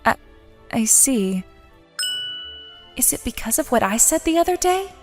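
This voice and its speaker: A woman's voice: sits high, very animated, unhurried, soft background music, full character voice. A woman playing a sociable but nervous, lovesick schoolgirl in a manga dub, with an emotive, hesitant delivery. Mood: timid concern. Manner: emotive, self-doubting, hesitant. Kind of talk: dramatic manga dub narration and dialogue.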